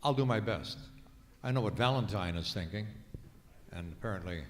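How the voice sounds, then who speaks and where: A man's voice: fairly low pitch, moderate pace, in a large hall, slight background noise. A man emceeing with casual, wry, self-deprecating stage patter as a musical entertainer. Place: museum performance space, live before an audience. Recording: live stage with loud guitar music.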